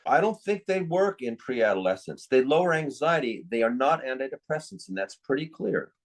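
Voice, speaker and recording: A man's voice: medium pitch, very animated, normal speed. A man speaking as a psychiatrist and interview guest, candid and opinionated, stressing key words as he talks conversationally.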